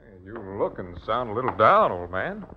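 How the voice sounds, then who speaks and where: An actor playing a friendly, concerned business colleague in the manner of 1940s radio drama. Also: male voice, lowish voice, very animated, normal speed, a little hiss or hum. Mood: hearty, encouraging. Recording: dull vintage radio recording.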